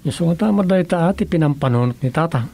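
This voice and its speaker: Actor as lively, easygoing village man. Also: a little high, quick pace, vocal fry. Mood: explaining. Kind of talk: Ilocano radio drama acting, conversational.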